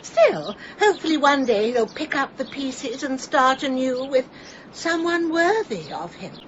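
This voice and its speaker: A woman's voice: high-pitched, very animated, unhurried. An actress playing a snooty, disapproving village woman in a radio drama. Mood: withering. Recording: dull radio recording, faint noise.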